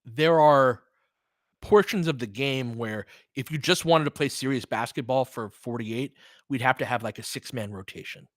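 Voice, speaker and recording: A middle-aged man with a bright, forward voice in a dry, close recording. He hosts casual live basketball commentary, mixing play-by-play with opinionated chat and reacting out loud as he goes. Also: medium pitch, moderate pace, dry recording. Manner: conversational, opinionated, reacts aloud to the action. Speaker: online basketball play-by-play host.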